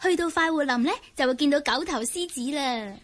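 A very high, childlike voice for an innocent little country girl in a radio play. She chatters eagerly and guilelessly, telling things as a child would. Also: a little fast, a bit bright. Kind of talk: Cantonese radio drama acting.

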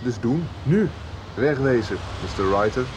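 A man's voice: medium pitch, animated, unhurried, noisy background, natural voice. An actor playing a blunt, enthusiastic and encouraging young male friend in a radio soap. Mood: blunt, urging. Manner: blunt, urging.

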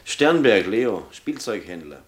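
An actor playing an earnest, persistent police lieutenant in a 1960s German radio play, formal and probing. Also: male voice, fairly low pitch, very animated, a little slow, loud music behind the voice. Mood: earnest, determined.

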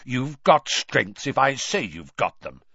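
A man voicing a gruff, shrewd old wizard teacher who growls out blunt advice in an audiobook. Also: medium pitch, sing-song, measured pace, pushed and bright, no echo, highs cut off, heavily acted. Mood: firm, coaching.

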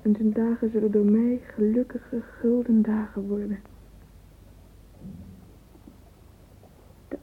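A woman's voice: fairly high pitch, a little hiss or hum, lightly acted. An actress playing a dreamy, romantic young woman in a radio play monologue, whispering intimately and fervently through a narrow, phone-like recording. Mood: dreamy, wistful. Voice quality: breathy, whispery. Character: dreamy romantic young woman lost in yearning love fantasies.

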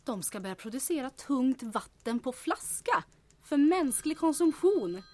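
En kvinna som spelar en skarp, omtänksam och lite skvallrig förtrogen i ett ljuddrama. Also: female voice, sits high, big pitch swings, a little mellow. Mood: wry, amused. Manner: inquisitive, confiding. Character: concerned, sharp female confidante, gossipy and wryly amused. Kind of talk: audio drama acting.

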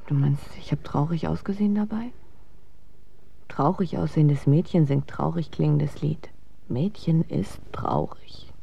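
Muffled lo-fi radio play, a despairing young woman, gravelly creak, cynical, blunt. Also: sits low, unhurried, a little hiss or hum, heavily acted. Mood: dry, defiant. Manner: cynical, blunt, dry retorts. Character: weary, sardonic, despairing young woman in a dance marathon. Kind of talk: German radio drama acting. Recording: dull lo-fi sound, faint music.

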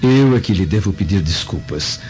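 Low-voiced, proud Roman senator. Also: male voice, animated, darkish tone. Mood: conciliatory. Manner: formal, measured. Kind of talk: radio drama acting. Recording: muffled radio drama, faint music.

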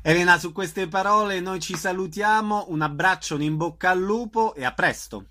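Guy hosting radio interviews, slightly high bright voice, does intros and questions. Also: normal speed.

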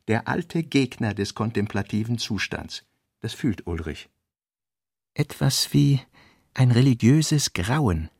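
Gravelly, husky man reading notes. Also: medium pitch, no echo, clean studio sound. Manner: measured, fragmentary, deliberate. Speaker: reader. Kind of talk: reading literary notes for a radio play.

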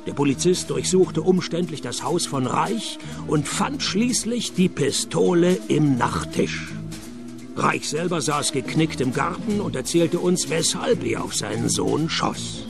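A man's voice: a little high, a little slow, vocal fry, music in the background, heavily acted. A man with a Swiss German accent playing a dry, sardonic private detective who narrates a radio play. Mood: deadpan. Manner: deadpan, sardonic.

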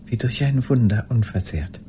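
Elderly woman, dark mellow tone, halting intimate monologue, haunted by the past, radio drama. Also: a bass voice, slight background noise. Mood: haunted, hushed. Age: older. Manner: halting, intimate, sometimes dropping to a whisper. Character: old woman haunted by a lost wartime love.

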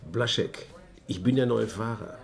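A man's voice: medium pitch, a little slow, vocal fry. A man playing a wry, hesitant working-class driver in a radio drama, laconic and sceptical. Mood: dry, wry. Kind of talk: German radio drama acting.

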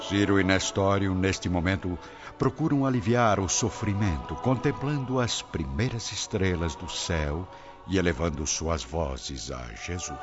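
Deep, grave Brazilian male narrator. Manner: grave, measured storytelling. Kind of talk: dramatic scripted narration of an audio drama. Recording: dull lo-fi audio, loud music behind.

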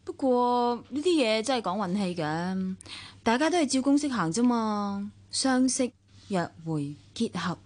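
Hong kong cantonese radio drama wry drifting younger sister, wistful and guarded, highish voice, big reverb. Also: female voice, animated, unhurried, a little mellow. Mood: nonchalant, wry. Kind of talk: radio drama acting and first-person narration. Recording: long reverb, music underneath. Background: music.

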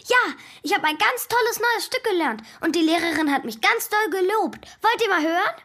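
A young girl in a children's radio drama, with a very high voice. She is a keen little sister who takes offence easily. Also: lively intonation, fairly quick, fairly bright tone. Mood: eager, chatty. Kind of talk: German children's radio play.

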